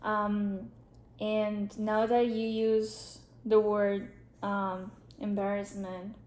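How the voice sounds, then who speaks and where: Slow, hesitant woman playing a counselor. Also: medium pitch, flat delivery. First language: Spanish. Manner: hesitant, many ums, gentle questioning. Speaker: counselor in role play. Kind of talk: role-played CBT therapy session. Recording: roomy echo.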